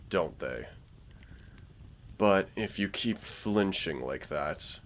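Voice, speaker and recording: A man playing a smug, taunting dark warlord villain in a roleplay audio drama, speaking in a theatrical, dryly mocking way. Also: low voice, slight background noise, full character voice. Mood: mocking, wry. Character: dark warlord villain, younger-looking, sardonic, smug, taunting Lord of Nightmares. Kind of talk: roleplay audio drama, intimate scripted monologue.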